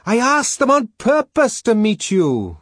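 A man voicing a desperate small man who shouts, wheedles and wails through a door in an audiobook story. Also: extremely high pitch, wildly swooping pitch, a little slow, husky, dry recording, clean studio sound, voice pushed up, full character voice. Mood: loud pleading.

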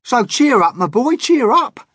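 A man voicing a loud, jolly male centipede in a storybook reading, with a shrill, excitable manner. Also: measured pace, breathy edge, no room sound, clean studio sound, heavily acted. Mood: hearty.

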